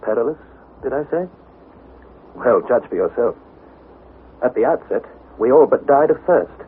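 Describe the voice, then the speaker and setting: A man's voice: mid-range voice, animated, normal speed, close to an everyday voice. An actor playing an earnest young adventurer, narrating his perilous journey in a formal, dramatic vintage radio style. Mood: grave, suspenseful. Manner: formal, precise, dramatic storytelling. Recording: tinny, noisy 1960s radio recording.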